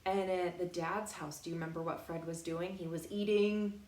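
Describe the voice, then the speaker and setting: A woman reading a picture book aloud in a playful, expressive way, pausing to put questions directly to young children. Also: lowish voice. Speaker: storytime reader for young children. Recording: roomy echo, faint background noise.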